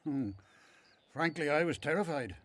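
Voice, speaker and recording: Elderly devout father for radio Bible drama played by a man: slightly high voice, lively inflection, frank and supportive. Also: normal speed. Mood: gentle, frank. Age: older.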